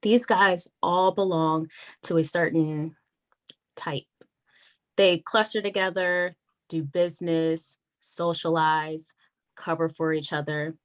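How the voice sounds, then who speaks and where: A woman playing a wary, frank government attorney in a naturalistic script read, measured and confiding. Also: low voice, fairly even intonation. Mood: guarded, confiding.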